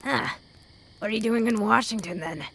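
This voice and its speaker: A woman playing a wary, sardonic wasteland traveler in an audio drama, dry and blunt, with a gravelly voice. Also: a little high, normal speed, fairly bright tone. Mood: dry, skeptical. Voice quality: gravelly, creaky. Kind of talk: fan audio drama acting.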